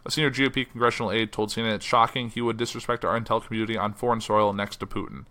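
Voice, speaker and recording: A man runs his own news podcast from home. He talks quickly with a bright, forward tone, and his voice sounds a little worn and hoarse. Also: lowish voice, slight background noise. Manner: reads quotes in a flat newsy voice, then chats loosely with fillers. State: losing his voice. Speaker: podcast host. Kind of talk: solo news podcast, reading articles aloud. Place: house.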